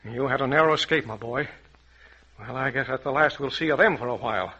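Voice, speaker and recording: An actor playing a commanding, fatherly safari adventurer in vintage radio adventure style, brisk and authoritative. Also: mid-range voice, bright, forceful tone, breathy edge. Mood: fatherly, cautioning. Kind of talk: 1940s radio adventure acting. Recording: dull lo-fi vintage radio recording.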